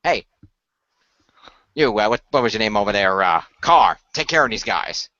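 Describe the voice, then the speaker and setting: Man putting on a smug, lively underworld lord. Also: lowish voice, normal speed, no echo, lo-fi sound, voice pushed down. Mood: breezy, dismissive. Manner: sarcastic, breezy showman.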